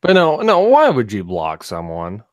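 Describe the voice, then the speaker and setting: A man with a slightly raspy, froggy throat, chatting wryly and jokingly on a casual live stream. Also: fairly low pitch, wildly swooping pitch, a little slow, no echo. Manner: wry, joking. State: froggy throat that will not clear.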